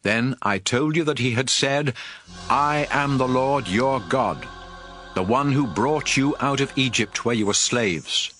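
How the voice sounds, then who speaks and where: A man's voice: mid-range voice, unhurried, a bit bright, soft background music, lightly acted. An actor playing a solemn, commanding male prophet who addresses his people with emphatic oratory in a dramatized audio Bible.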